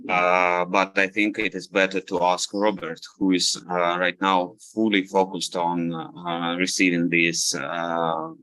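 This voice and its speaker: Very low-voiced, hesitant man. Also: level tone, a little slow, few pauses, bright, forceful tone, a little hiss or hum, low-quality recording. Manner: hesitant, many fillers. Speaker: team member answering questions. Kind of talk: casual online community call.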